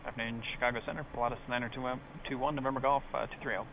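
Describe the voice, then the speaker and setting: A man's voice: medium pitch, fairly even intonation, fast talker, gravelly. A man, a pilot, making clipped, procedural radio calls on an aviation headset. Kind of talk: air traffic radio calls. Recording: loud noise on cockpit radio.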